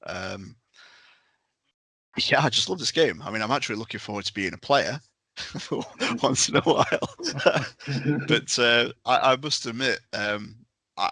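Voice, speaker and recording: A man's voice: fairly low pitch, pushed and bright, no room sound. A man on a podcast panel chatting enthusiastically in a casual review discussion.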